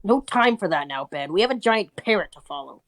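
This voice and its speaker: Woman playing an animated, kindly cartoon grandpa. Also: mid-range voice, fairly quick, no room sound, close to an everyday voice. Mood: urgent.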